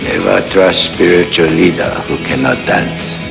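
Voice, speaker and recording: A gravelly old karate master. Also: male voice, low-pitched, little pitch movement, measured pace, heavily acted. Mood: dry amusement. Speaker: karate teacher in a film clip. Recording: film clip under loud music. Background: loud music.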